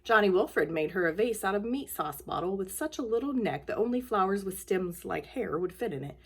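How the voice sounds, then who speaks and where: Animated woman reading a storybook. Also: mid-range voice, fairly quick, slightly rough. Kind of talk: reading a children's storybook aloud.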